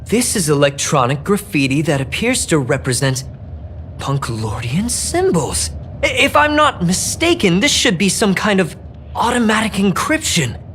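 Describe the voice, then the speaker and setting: A man's voice: sits high, normal speed, a little hiss or hum. An actor playing a nervous, self-deprecating male engineer in a sci-fi video game, earnest and hesitant. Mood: focused. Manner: hesitant, stammering, earnest and excitable. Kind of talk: expressive video game dialogue acting. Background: background music.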